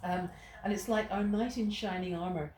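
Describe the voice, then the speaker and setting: A woman's voice: fairly low pitch, fairly even intonation, normal speed, darkish tone. Irish-born woman leading a bible study, rambling storyteller, echoey room w/ faint hiss. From Ireland. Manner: digressive, storytelling, many restarts. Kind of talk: teaching to a small group. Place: indoor room with a small group. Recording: roomy echo, faint noise.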